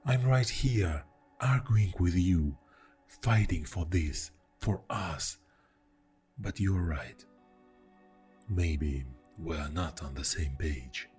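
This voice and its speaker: A man playing an exasperated, defensive boyfriend in an ASMR audio roleplay, speaking in a deep, soft voice with heavy sighs. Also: expressive, faint music behind. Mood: pleading, earnest, then hurt, reproachful. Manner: slow, pausing, with heavy sighs. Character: exasperated, defensive boyfriend arguing with a jealous partner.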